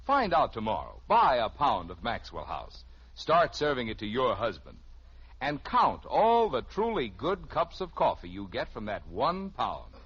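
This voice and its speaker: A man's voice: medium pitch, huge pitch swings, a little slow, a bit bright, breathy edge. An American man reading a 1950s radio commercial as an announcer. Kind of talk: 1950s radio advertising pitch. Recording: muffled old radio recording.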